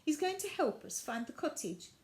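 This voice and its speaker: Woman playing a brisk, cheerful storybook lady, high-pitched. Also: big pitch swings, a little mellow, reverberant. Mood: polite. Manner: encouraging, unflappable, exclaiming. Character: brisk, cheerful, practical woman looking after a girl. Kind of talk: children's storybook character voice.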